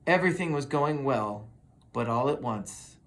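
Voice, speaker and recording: A person reading a children's story aloud in an expressive, dramatic manner. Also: mid-range voice, measured pace. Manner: expressive, with dramatic sound words. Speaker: storybook reader.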